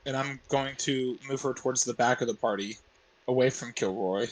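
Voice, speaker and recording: A man's voice: medium pitch, normal speed, a little hiss or hum, low-quality recording. A man chatting casually as a player in a tabletop roleplaying game.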